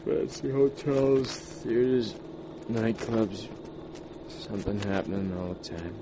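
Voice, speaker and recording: A gruff scheming crook. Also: male voice, lowish voice, steady tone, slow, noisy background. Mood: cocky. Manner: gruff, bossy, streetwise slang. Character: scheming tough-guy crook who takes charge. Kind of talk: 1940s radio acting.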